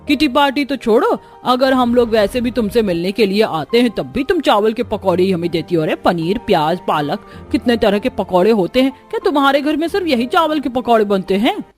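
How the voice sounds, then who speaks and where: A woman's voice: a little high, darkish tone, lightly acted. A woman voicing a blunt, critical middle-aged lady friend in a cartoon story. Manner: chiding, blunt.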